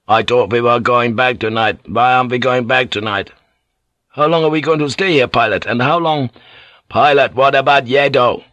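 A man voicing a coarse, grumbling sailor in an audiobook, pressing loud, demanding questions. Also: medium pitch, normal speed, no echo, muffled recording, lightly acted. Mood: demanding, impatient.

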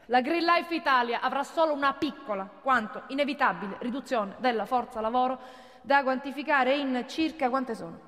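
An actress playing a condescending, defensive corporate boss arguing sarcastically in a theatrical radio monologue. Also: female voice, high-pitched, a bit bright. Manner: condescending, sarcastic, rhetorical questions. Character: haughty, defensive female corporate executive, condescending and combative. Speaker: company managing director addressing union members. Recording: long hall reverb of a stage.

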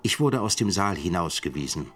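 Casting: solemn scholar-chronicler in radio drama, very low voice, literary, faint music underneath. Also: male voice, animated, brisk. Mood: grave narration. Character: grave, defiant male professor chronicling a trial.

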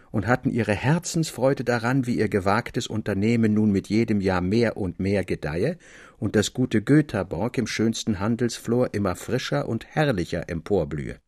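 A man reading a literary story as narrator, articulate and expressive in scripted audiobook style. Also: on the low side, dry recording, studio quality.

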